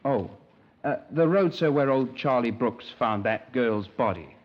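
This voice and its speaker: An actor playing a naive young English scoutmaster in a 1950s radio crime drama, polite, hesitant and wordy, easily affronted. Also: male voice, medium pitch, expressive, a little slow. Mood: hesitant, deferential. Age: young adult. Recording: dull, band-limited 1950s radio recording.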